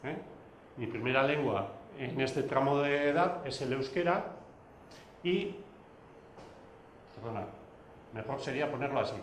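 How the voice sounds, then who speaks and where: A man whose first language is Basque, giving a recorded video lecture, pausing often with fillers and restarts. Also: mid-range voice, reverberant, a little hiss or hum. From Basque Country. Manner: hesitant, many fillers and restarts.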